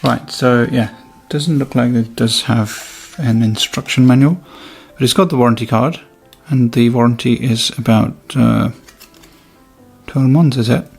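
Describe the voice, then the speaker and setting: A man talks through a product demonstration in an informal, hands-on way. His voice has a creaky, fried edge at an ordinary male pitch. He thinks aloud as he goes, with light music around the recording. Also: unhurried, darkish tone. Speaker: product reviewer. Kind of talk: casual unboxing and review commentary.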